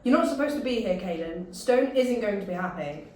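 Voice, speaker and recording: A woman's voice: mid-range voice, animated, brisk, darkish tone, a little hiss or hum, lightly acted. Woman as a male sergeant, exasperated, echoey. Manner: exasperated, brusque.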